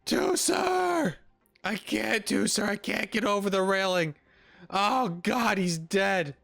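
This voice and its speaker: A man's voice: high-pitched, animated, slow, gravelly, a bit bright, no room sound, faint music behind. A man streaming a video game, reacting casually on a close mic. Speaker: gaming streamer.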